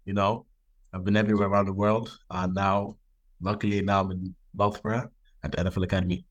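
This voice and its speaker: A young man from Ireland answering interview questions in a relaxed, laid-back way, with many ums. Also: low-pitched, quick pace, highs cut off. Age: young adult. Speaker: guest football player.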